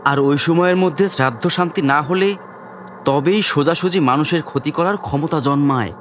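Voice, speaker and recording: An actor playing an opinionated old villager in an audio drama, speaking of ghosts in an ominous, rhetorical way. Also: male voice, on the high side, fairly quick, slight background noise, close to an everyday voice. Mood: ominous, knowing. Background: faint music.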